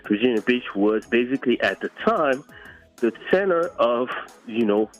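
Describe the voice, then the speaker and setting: A middle-aged man answering in a conversational interview, often pausing hesitantly between phrases. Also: mid-range voice, expressive, soft background music, low-quality recording. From Democratic Republic of Congo. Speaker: guest, entertainment producer.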